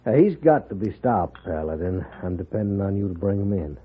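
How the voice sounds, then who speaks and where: Wealthy Western rancher: American, cordial yet stubborn, husky delivery, thin old radio broadcast sound. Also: male voice, sits low, very animated, fairly quick, heavily acted. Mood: aggrieved, insistent. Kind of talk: 1950s Western radio acting. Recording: narrow-band 1950s radio recording.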